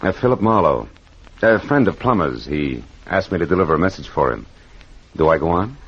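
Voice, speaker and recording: An American actor playing a wry, hard-boiled private detective, narrating vintage radio noir with sardonic punch. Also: male voice, sits low, sing-song, fairly quick, breathy edge, exaggerated voice. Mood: wary, smooth. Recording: muffled vintage radio, music behind.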